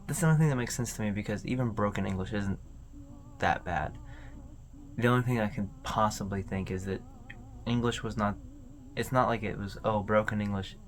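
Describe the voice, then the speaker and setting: A man with a fairly low voice hosts an informal video commentary. He talks casually and haltingly, rambling as he works through his thoughts. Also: slight background noise. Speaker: video host.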